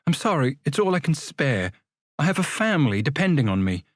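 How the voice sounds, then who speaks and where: A man's voice: on the high side, big pitch swings, brisk, no echo, studio quality, voice pushed up. A man voicing a hesitant, apologetic young male shop assistant.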